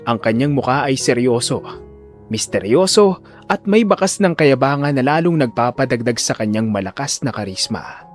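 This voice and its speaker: A man narrating a scripted audio drama in a deliberate, theatrical storytelling manner. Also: medium pitch. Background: faint music.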